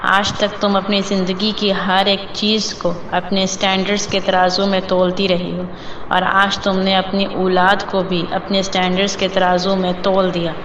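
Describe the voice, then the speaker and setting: A woman's voice: medium pitch, completely flat delivery, moderate pace, muffled recording, noisy background, natural voice. A middle-aged woman acting a proud, status-minded mother in a melodrama, solemn and declamatory. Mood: bitter self-reproach. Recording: prominent background music.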